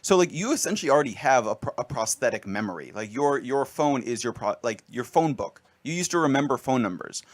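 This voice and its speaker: Male radio co-host, mid-pitched, wry and explanatory, casual banter. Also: animated, brisk, hardly any pauses. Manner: wry, explanatory, riffs on tech news.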